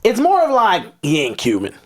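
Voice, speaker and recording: A man co-hosting a casual film podcast, animated and talking in quick asides. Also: sits high. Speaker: podcast co-host.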